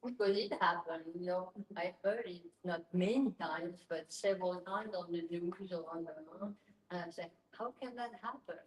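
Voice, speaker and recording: A woman asking questions in an informal group discussion, halting as she searches for words. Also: fairly low pitch. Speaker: audience member asking questions. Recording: echoey room.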